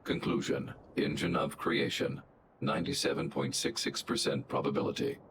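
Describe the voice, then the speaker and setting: Very deep emotionless guardian robot. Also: male voice, fairly even intonation, normal speed, background noise. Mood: flat, calculating. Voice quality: synthetic, mechanical. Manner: clipped, emotionless computed announcements. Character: stern, logical giant guardian robot. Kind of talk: video game voice acting. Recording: game music under the voice.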